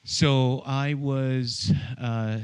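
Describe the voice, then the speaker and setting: A man from Iran, a guest on a podcast, talking in a friendly way with many pauses and fillers. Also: mid-range voice, fairly even intonation, clear, resonant tone. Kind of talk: casual interview conversation. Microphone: studio microphone with headphones.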